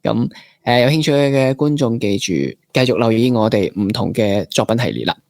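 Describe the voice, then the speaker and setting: A man's voice: medium pitch, brisk, gravelly, darkish tone, no echo, clean studio sound. A man with a Hong Kong Cantonese accent hosting a casual interview, informal and mixing English words into his talk. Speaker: podcast host.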